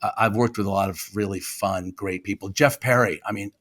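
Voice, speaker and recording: A man's voice: on the low side, fairly even intonation, brisk, a bit bright. A man from New York, chatting as a podcast guest in a rambling, self-deprecating way with many restarts. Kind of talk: casual chat.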